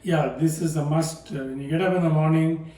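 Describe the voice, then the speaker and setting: An older man from South India calmly demonstrating how he makes coffee, soft-spoken and hesitant, with frequent fillers. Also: a little high, brisk. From Palakkad, South India. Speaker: guest, home cook. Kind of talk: casual demonstration chat. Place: home kitchen. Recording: echoey room from a distance, faint noise.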